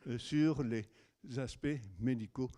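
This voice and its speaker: A man moderating a conference, introducing the next speaker in hesitant, halting speech. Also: mid-range voice, dark tone. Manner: hesitant, many fillers and restarts. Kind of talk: introducing a lecture.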